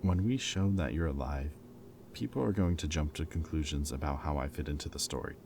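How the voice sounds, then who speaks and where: A man playing a protective, teasing former villain in an audio roleplay, with a wry, casual, halting delivery. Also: very deep voice, noisy background. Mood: earnest, reassuring.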